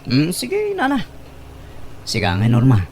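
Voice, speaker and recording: A man's voice: medium pitch, huge pitch swings, takes it slowly, a crowd in the background. A man playing an eager, lovestruck young suitor in a comedy radio drama, earnest and polite to elders, with an Ilocano accent. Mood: eager, obliging.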